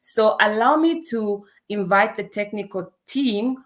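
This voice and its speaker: A woman's voice: mid-range voice, unhurried. A woman with a Zambian accent moderating an online event, welcoming the audience in an enthusiastic, emphatic way. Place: online video meeting.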